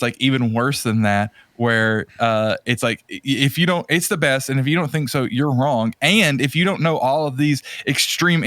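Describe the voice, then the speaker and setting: A man's voice: medium pitch, fairly bright tone, no room sound, studio quality. A man co-hosting a podcast in a casual, opinionated and rambling conversational style. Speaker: podcast co-host. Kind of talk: casual chat about video games.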